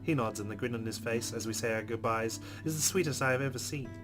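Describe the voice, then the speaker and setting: A man hosting a let's play, reading a visual novel aloud with expressive delivery and quick asides. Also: mid-range voice. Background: game music.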